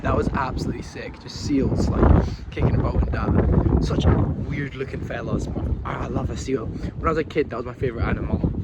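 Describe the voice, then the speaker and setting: A man's voice: mid-range voice, brisk, lo-fi sound. Chatty young man vlogging outdoors. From Scotland. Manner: chatty, rambling, swears casually. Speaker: travel vlogger. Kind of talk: casual vlog narration. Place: outdoors in a windy coastal town and city streets. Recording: noisy outdoor phone vlog.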